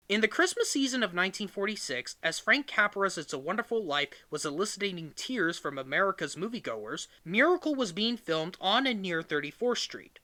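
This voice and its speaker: Bright, lively male read-aloud narrator. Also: high-pitched. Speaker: reader of a book excerpt. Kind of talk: scripted read-aloud narration of a magazine-style history.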